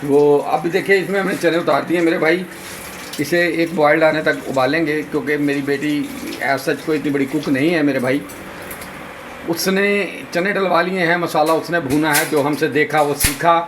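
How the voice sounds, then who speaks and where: Hoarse man chatting through a home cooking vlog. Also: on the high side, moderate pace. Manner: chatty, addresses viewers as brother. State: hoarse, sore throat and fever. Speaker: vlogger. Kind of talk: casual cooking vlog narration. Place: home kitchen. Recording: noisy home video.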